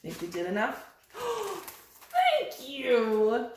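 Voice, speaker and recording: A woman's voice: high voice, wildly swooping pitch, extremely slow, slight background noise. A woman leading an interactive children's storytime, speaking in a sing-song, encouraging way and putting questions to young listeners. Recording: very echoey, roomy sound.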